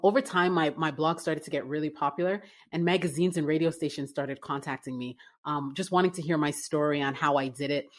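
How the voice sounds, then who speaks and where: Low-pitched woman from canada chatting through her story, lots of ums and restarts. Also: a little fast, hardly any pauses. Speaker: guest, writing business owner. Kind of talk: casual interview conversation.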